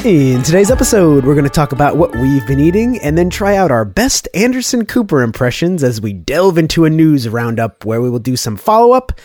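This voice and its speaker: A man co-hosting a casual podcast, stuffy with a cold and slightly gravelly, with a playful, teasing manner. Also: fairly high pitch, very animated, brisk, hardly any pauses, darkish tone, faint music behind. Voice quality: slightly gravelly, some vocal fry. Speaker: podcast co-host. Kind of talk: casual co-hosted podcast chat.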